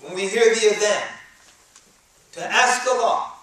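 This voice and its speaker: A man giving a sermon, explaining scripture and reciting verses quickly in Arabic, which is not his first language, with emphatic, rising delivery on key points. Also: high voice, fairly bright tone. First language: English. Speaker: preacher. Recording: very echoey room, voice from a distance.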